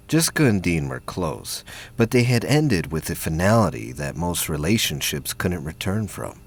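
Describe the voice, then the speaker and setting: A man narrates a science fiction audio drama from a script. His voice sits very low, with a gravelly, husky grain, and he is reading as a storyteller.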